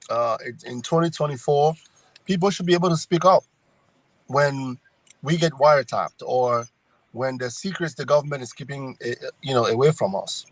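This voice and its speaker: A man's voice: fairly high pitch, no room sound, low-quality recording. A middle-aged man with a Cameroonian accent, speaking conversationally as a political candidate in an interview. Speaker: congressional candidate, guest.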